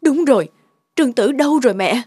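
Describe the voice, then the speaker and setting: A worried widowed mom in a cartoon, really high voice, fretful and upset. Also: female voice, animated, a little fast, warm tone, husky, no echo, clean studio sound. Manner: fretful, distressed. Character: anxious grieving widowed mother, self-blaming, middle-aged. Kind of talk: animated drama dialogue.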